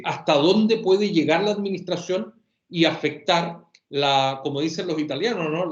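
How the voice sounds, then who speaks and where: A man moderating a seminar panel, thanking speakers in a measured, courteous way. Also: fairly high pitch. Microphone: video call. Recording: roomy lo-fi video call audio.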